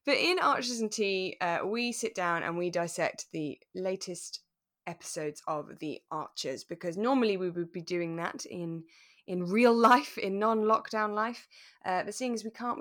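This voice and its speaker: British woman doing a relaxed podcast chat, chatty and articulate, medium pitch. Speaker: podcast co-host. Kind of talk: relaxed chat discussing a radio drama.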